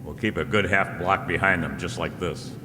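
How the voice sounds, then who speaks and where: Man acting a deep-voiced wry radio detective. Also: fairly even intonation, moderate pace, in a large hall, noisy background, lightly acted. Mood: brisk, determined. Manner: wry, clipped, slangy. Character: wry, confident male detective, dogged and casual. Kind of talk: old-time radio detective drama acting.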